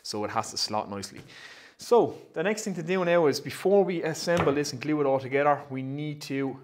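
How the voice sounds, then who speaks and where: A man's voice: on the high side, fairly quick, noisy background. A man in his thirties hosting a woodworking vlog, chatting casually and thinking aloud as he works in his shed. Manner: chatty, upbeat, thinks aloud while working. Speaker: woodworking channel host. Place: indoor woodworking shed, pegboard walls.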